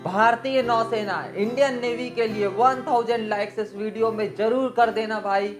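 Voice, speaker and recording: A woman's voice: on the high side, steady tone, normal speed, music in the background. A woman hosting an informational explainer for viewers, going through details methodically point by point and mixing in many English terms. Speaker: host of an exam and job information channel.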